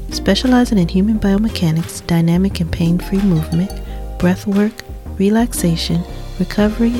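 A woman hosting a podcast, giving an upbeat, welcoming introduction and then interviewing her guest. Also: on the low side. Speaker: podcast host.

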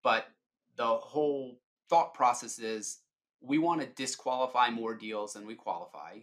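A man's voice: mid-range voice, unhurried, fairly bright tone. A middle-aged man giving informal, explanatory answers as a sales leader being interviewed on a podcast.

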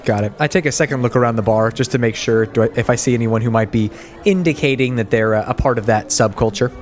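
A man's voice: medium pitch, fast talker. A man playing a tabletop game, casually asking questions and talking through his moves.

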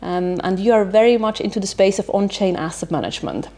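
A woman's voice: mid-range voice, expressive, quick pace, dark tone. A woman hosting an interview, asking friendly questions and often nodding along with short interjections. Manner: friendly, frequent affirming interjections. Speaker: interview host.

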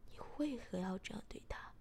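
A woman voicing a calm, domineering young man in a whispered storybook reading. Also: mid-range voice, close to an everyday voice. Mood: cold, controlling. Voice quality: whispered, measured.

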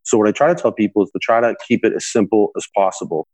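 A young man answers interview questions in a rapid, earnest flow, leaning on "you know" as he explains. His voice sits slightly low and the recording is dry and close. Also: a little mellow, no echo. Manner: frequent "you know" fillers, earnest advice-giving. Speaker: podcast guest, entrepreneur.

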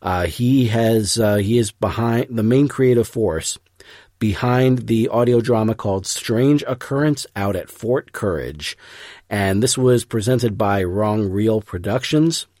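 A man with a fairly low voice introduces a show on his podcast. He speaks earnestly and effusively, a little haltingly, in a close, dry recording. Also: a little mellow, no room sound. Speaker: podcast host. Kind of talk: scripted-loose podcast introduction.

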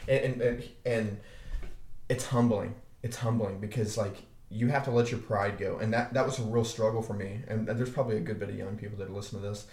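Deep-voiced man telling stories. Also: fairly even intonation, a little fast, a little mellow. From Arkansas, USA. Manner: laid-back storytelling, frequent restarts and swearing. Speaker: podcast co-host. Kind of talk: casual chat. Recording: echoey room.

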